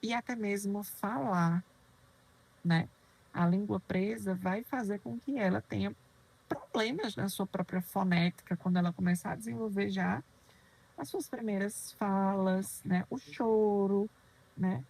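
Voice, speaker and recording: Soft-voiced woman lecturing on dentistry. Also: mid-range voice, unhurried, gravelly, breathy edge, poor audio. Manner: didactic, poses questions and answers them, frequent "né?". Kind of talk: explanatory lecture.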